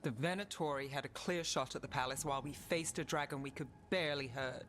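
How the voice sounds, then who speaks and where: A woman's voice: deep voice, fairly quick, a little hiss or hum, close to an everyday voice. A woman playing an anguished, dutiful defender of a fantasy city in a video game, terse and serious. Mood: weary, tense. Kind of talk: video game dialogue acting.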